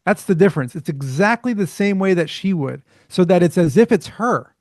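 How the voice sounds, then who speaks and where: A man, a startup founder, talking as a podcast guest in an energetic, fluent way with rhetorical questions. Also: high voice, moderate pace, no room sound, clean studio sound. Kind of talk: casual interview chat.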